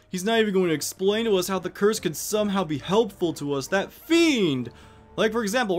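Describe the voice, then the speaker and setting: A young man hosts a gameplay video in a fairly high male voice. He chats casually and rambles along, poking fun at himself, with game music softly behind him. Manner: chatty, rambling, self-deprecating. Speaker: let's play host.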